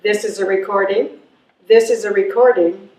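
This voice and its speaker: A woman's voice: mid-range voice, fairly even intonation, moderate pace, a little mellow, close to an everyday voice. A woman playing God as a detached answering-machine message in a readers theatre performance. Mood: detached, official. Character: God or goddess as flat answering-machine greeting. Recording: echoey live stage, distant mic.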